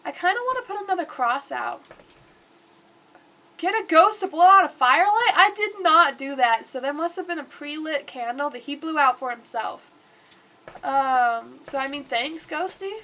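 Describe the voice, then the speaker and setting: Woman streamer, stuffed-up nose, high voice, chatty rambling play-by-play. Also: lively intonation, moderate pace, sharp tone. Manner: chatty and rambling, talks to the game aloud. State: congested. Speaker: livestreamer. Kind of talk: casual gameplay commentary.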